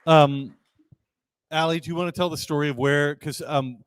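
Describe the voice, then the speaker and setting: Brief: male host, smooth clear voice, dry close sound, low-key. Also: fairly even intonation, normal speed, no echo. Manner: low-key, hesitant with restarts. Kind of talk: presentation on a live stream.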